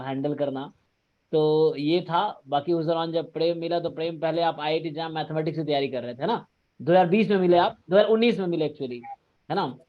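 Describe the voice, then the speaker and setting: A man with a somewhat high voice leads the interview over a video call, explaining things in an animated, talkative way. The audio sounds dull and lo-fi. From Bihar. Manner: animated, explains in a mix of Hindi and English. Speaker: interviewer and coaching teacher. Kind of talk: casual conversational interview.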